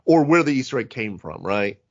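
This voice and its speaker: Male podcast host, mid pitch, lively swooping intonation, rambling casual chat, slightly dull audio. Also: brisk. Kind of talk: casual panel chat about tabletop games.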